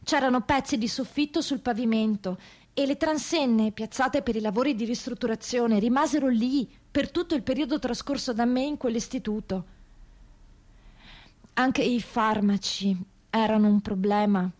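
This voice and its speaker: A woman performing a dramatic radio theatre monologue as a testimony, with measured, grave and deliberate phrasing. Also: a little high, a little mellow. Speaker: actress reading prisoners' testimonies.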